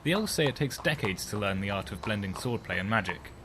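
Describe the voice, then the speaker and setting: A man's voice: fairly low pitch, animated, fast talker. A man playing an earnest, wary half-elf bard in a fantasy audio drama, with a dry manner. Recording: noisy night-time cricket ambience behind.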